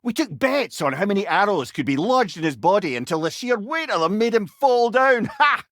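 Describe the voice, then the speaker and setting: An actor playing a wise, wry old Scottish storyteller and companion in a video game, speaking eloquently with a lilt. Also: male voice, high voice, big pitch swings, moderate pace, fairly bright tone, dry recording, clean studio sound. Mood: amused, chatty. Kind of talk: video game character dialogue.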